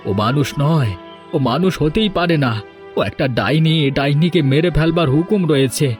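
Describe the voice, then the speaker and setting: A man's voice: fairly high pitch, normal speed, darkish tone, soft background music, natural voice. A man playing a grieving, vengeful village father in a cartoon fairy tale, emphatic and melodramatic. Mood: angry, determined.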